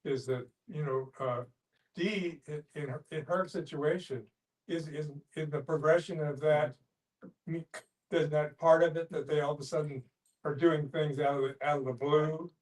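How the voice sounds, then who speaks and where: A man in a casual group discussion, speaking haltingly as he searches for his words. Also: a little high, steady tone, a little mellow. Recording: group in room, distant mic.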